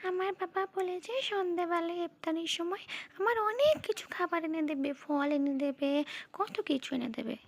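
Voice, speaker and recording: Woman as little child playmate, extremely high pitch, boastful, cartoon dialogue. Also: moderate pace. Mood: proud.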